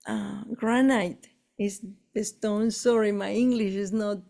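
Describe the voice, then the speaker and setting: A woman with an Argentine Spanish accent, whose first language is Spanish, speaks slowly in a soft, mellow tone. She talks in a relaxed, halting way, often pausing to search for the right English word. Her delivery stays easygoing and conversational. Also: medium pitch, creaky. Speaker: spiritual tour guide. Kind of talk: casual conversation.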